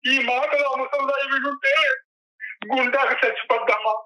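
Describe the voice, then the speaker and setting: An older man, calling by phone. His high, sharp voice carries an anguished, lamenting plea. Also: fairly even intonation, normal speed, rough recording. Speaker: caller, father.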